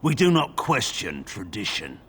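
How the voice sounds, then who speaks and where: A man's voice: on the low side, huge pitch swings, a little slow, a bit bright, a little hiss or hum, full character voice. A man playing a terse, suspicious village guard in a video game, speaking in a guarded, formal way. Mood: guarded, firm. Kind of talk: video game dialogue acting.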